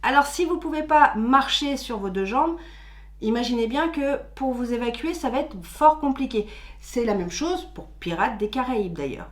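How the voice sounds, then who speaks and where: Chatty woman with higher voice. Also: lively intonation, normal speed. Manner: chatty, explains patiently with filler words. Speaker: co-host of a theme-park channel. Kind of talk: casual explainer chat. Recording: echoey room.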